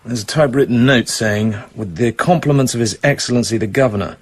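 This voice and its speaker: An actor playing a suave British secret agent in a radio drama, with clipped, terse delivery. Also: male voice, medium pitch, a little fast, slightly rough, natural voice. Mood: cool, businesslike. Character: suave British male secret agent narrating his own danger. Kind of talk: radio drama acting, terse first-person narration. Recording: music behind the voice.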